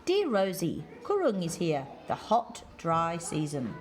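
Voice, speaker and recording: A woman reading a children's picture book aloud in deliberate, measured phrases with expressive emphasis. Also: fairly low pitch. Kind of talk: read-aloud children's story.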